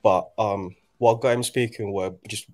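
Low-voiced reflective man in his twenties. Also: fairly even intonation, a little mellow. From Nigeria. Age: 20s. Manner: reflective, halting, many restarts. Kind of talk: casual conversation.

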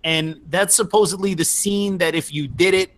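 A man with a slightly raised voice hosts a relaxed interview. He asks long, meandering questions, full of fillers and restarts. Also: sits high, moderate pace. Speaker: podcast host. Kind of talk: casual interview.

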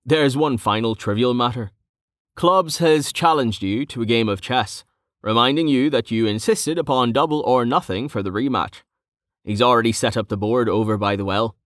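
A man voicing a dryly witty, formal assistant with a deadpan manner in an audiobook. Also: medium pitch, moderate pace, no echo, clean studio sound, close to an everyday voice. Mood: dry, teasing.

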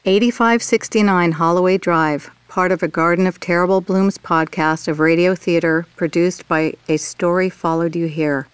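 A woman's voice: on the low side, steady tone, moderate pace, hardly any pauses, clean tone, dry recording. A woman announcer reading scripted credits for a radio theater production.

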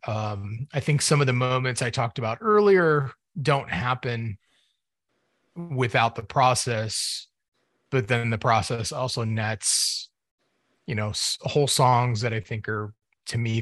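A man with a mid-range voice reviews music as a podcast co-host. He sounds measured and conversational, thinking aloud as he goes, in a very dry recording. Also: medium pitch, fairly bright tone, no room sound. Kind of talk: casual album critique.

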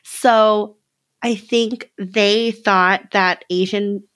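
A woman's voice: mid-range voice, fairly even intonation, drawn-out words, a bit bright, dry recording. An American woman in her late twenties chatting casually as a podcast guest, informal and giggly, often saying "like". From California, United States. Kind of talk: casual chat.

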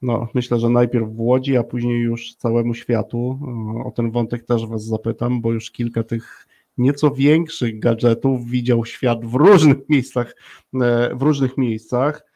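A man's voice: medium pitch, fairly even intonation, a little slow, warm tone. A man hosting a casual interview in a chatty, rambling, hesitant way. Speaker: podcast host.

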